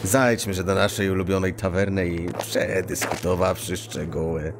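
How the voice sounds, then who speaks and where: An actor playing a scheming, chummy street thief who talks in quirky, halting, mock-archaic phrases. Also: male voice, low voice, fairly even intonation, noisy background. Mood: sly, confident. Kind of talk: acted fantasy audio drama dialogue.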